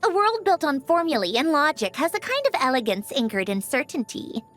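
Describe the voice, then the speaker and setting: A poised, gently haughty young lady from a video game. Her voice sits fairly high and moves in expressive, lilting arcs. She speaks formally and with measured eloquence, thoughtful and precise, with music playing behind her. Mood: reflective, composed. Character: composed, refined young woman, precise, reflective, gently haughty. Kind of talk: scripted character voice lines.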